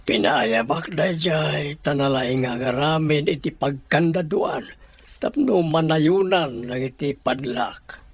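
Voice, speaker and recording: A man with a Filipino accent playing a stern, wary household master in a radio drama, commanding and measured. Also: on the high side, slightly rough, no room sound, exaggerated voice. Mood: grave.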